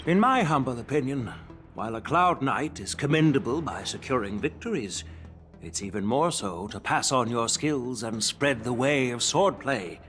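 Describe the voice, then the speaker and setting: Man playing an elderly general, dignified, stately phrasing, lively pitch, faint music underneath. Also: close to an everyday voice. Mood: courteous. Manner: courteous, measured, stately speech. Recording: studio game dub with faint music.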